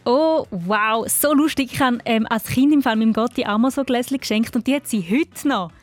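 A woman's voice: fairly high pitch, normal speed, no room sound. A woman with a Swiss German accent, chatting encouragingly as the host of a children's radio quiz.

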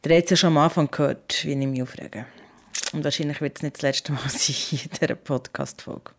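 A woman's voice: deep voice, steady tone, fairly quick. A young woman hosting a podcast in a casual, chatty style. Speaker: podcast host.

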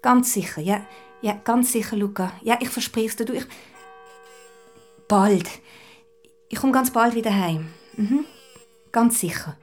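A woman's voice: on the high side, measured pace, long pauses, darkish tone, faint music behind, natural voice. An actress in a radio play playing a determined woman violinist and mother, speaking German with a Swiss accent. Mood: soothing. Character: determined female orchestra violinist and mother confronting a killer.

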